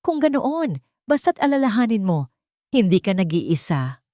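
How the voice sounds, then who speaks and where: A woman's voice: medium pitch, sing-song, moderate pace, mellow, no room sound, voice pushed up, lightly acted. A determined, protective Filipino man played by a woman storyteller speaks with hard resolve. He is firm in the manner of a narrated drama. The sound is very dry and close. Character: determined, protective male ally with connections.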